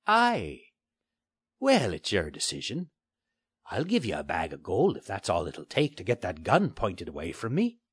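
A man's voice: huge pitch swings, unhurried, slightly rough, no echo, clean studio sound, full character voice. A man voicing a bulky, scheming male pirate with a growling, sneering pirate dialect, in a storybook reading. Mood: wheedling. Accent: old-style pirate dialect. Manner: jumps between high mocking lilts and low growls.

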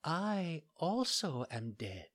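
A man voicing a pale, dying maiden in an audiobook, speaking faintly and slowly, barely above a whisper. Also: fairly high pitch, very animated, voice pushed up, heavily acted. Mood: ghostly. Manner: faint, slow, near-whispered. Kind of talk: audiobook character voice.

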